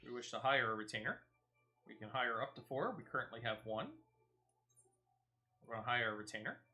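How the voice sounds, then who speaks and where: Male gaming streamer, bright forward tone, animated pitch, chatty and playful, talks through each step as he plays. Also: fairly quick. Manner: chatty, thinks aloud. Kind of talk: casual gameplay commentary.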